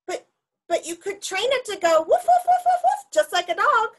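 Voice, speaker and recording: A woman's voice: extremely high pitch, big pitch swings, moderate pace. A woman playing an eager, persuasive animal shelter worker in a children's storybook, with coaxing, exaggerated delivery. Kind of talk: theatrical children's storybook read-aloud.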